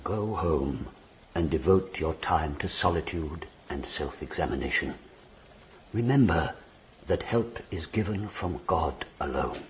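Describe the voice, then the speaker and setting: An actor playing a stern elder Freemason who moralizes gravely and formally, in a radio drama. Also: male voice, a bass voice, unhurried, a little hiss or hum, rough recording. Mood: solemn counsel. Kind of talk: British radio drama acting.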